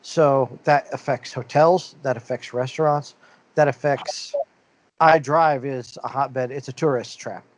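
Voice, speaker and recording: A man's voice: medium pitch, steady tone, a little slow, no room sound. A man co-hosting a podcast, talking in a loose, rambling way. He reads the news out and circles back through uh's and restarts. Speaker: podcast co-host. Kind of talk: casual podcast chat.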